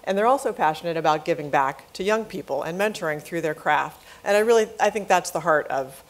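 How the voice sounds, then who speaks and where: Low-pitched woman presenting at a panel. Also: brisk. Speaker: panelist presenting a youth photography program. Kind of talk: informal spoken presentation. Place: live panel event before an audience.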